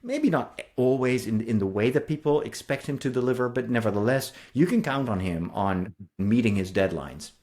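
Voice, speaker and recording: Guy with a mid-pitched voice co-hosting a book podcast, effusive, explains stuff as he goes. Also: normal speed, hardly any pauses, darkish tone. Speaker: co-host and writer. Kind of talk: enthusiastic book discussion podcast.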